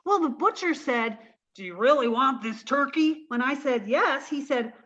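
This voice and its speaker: A woman's voice: sits high, expressive, normal speed, fairly bright tone, highs cut off. A woman acting in an old-time radio comedy as a naive, earnest, scatterbrained young roommate who is sweet and guileless. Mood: cheerfully naive.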